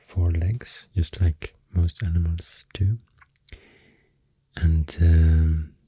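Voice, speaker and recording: Very deep, breathy Swedish-accented ASMR woman. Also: steady tone, no echo. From Sweden. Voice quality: deep, breathy, often whispery. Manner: slow, hushed, halting with long pauses. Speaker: ASMR host. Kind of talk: soft-spoken ASMR rambling. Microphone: close microphone. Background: soft pencil and clicking sounds.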